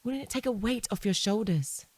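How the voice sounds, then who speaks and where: A woman's voice: medium pitch, normal speed, slightly rough, no echo, close to an everyday voice. An actress playing a suspicious, persistent wife questioning her husband in a naturalistic audio drama, in a controlled, sceptical, probing way. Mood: weary, insistent.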